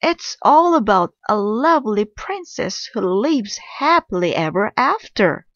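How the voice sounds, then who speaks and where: A woman's voice: medium pitch, big pitch swings, measured pace, a bit bright, no room sound, dull audio, voice pushed up. A woman voicing an easily outraged mother witch in a storybook, with a screeching, scolding, dramatic delivery. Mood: wailing dismay.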